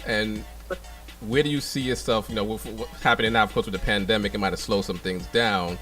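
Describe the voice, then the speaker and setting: A man's voice: on the low side, fairly even intonation, fairly quick, fairly bright tone, recorded from a distance, soft background music. A middle-aged man hosting a radio show, interviewing a guest in a laid-back way with many fillers. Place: radio studio.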